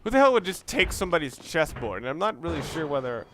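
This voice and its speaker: Higher-voiced man rambling through game commentary. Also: sing-song, quick pace, a bit bright, a little hiss or hum. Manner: informal, opinionated, mild swearing. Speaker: let's play commentator.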